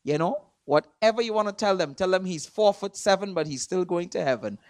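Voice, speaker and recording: A man from Trinidad with a Trinidadian accent, preaching in a church. He stresses words hard, repeats himself and swings between loud and soft. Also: high voice, lively intonation, brisk, dry recording, studio quality. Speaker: guest preacher. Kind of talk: sermon. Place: church sanctuary.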